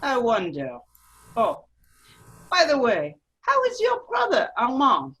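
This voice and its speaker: A woman playing a menacing, coldly scheming male French agent in an amateur radio play read, insinuating and deliberate. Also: on the high side, huge pitch swings, fairly bright tone, husky, heavily acted. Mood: cold, scornful.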